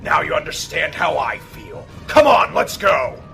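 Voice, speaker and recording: Man playing a tense cop, heavily gravelly. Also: on the high side, big pitch swings, measured pace, sharp tone, soft background music, heavily acted. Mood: urgent. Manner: tense, urgent. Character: urgent, exasperated male police officer. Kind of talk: amateur radio play acting.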